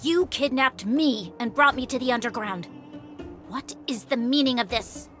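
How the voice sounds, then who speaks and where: A woman's voice: fairly high pitch, moderate pace, fairly bright tone, natural voice. A woman playing a proud, earnest young noblewoman soldier in a video game, speaking formally. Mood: indignant. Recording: game music behind the voice.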